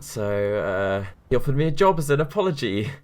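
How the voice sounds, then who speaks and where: A meek sidekick played by a man, with a mid-pitched voice that moves up and down a lot. He is an earnest, put-upon monster hunter's assistant, explaining things to camera in an animated mockumentary. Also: normal speed, crowd noise, lightly acted. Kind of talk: mockumentary comedy voice acting, talking to camera.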